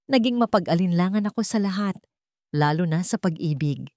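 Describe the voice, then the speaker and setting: A woman's voice: fairly low pitch, expressive, fairly quick, no echo, studio quality, lightly acted. Woman reading as a solemn, wounded Filipino male CEO. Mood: regretful, confessional. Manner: softened, solemn confession. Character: regretful wounded male CEO, earnest and protective.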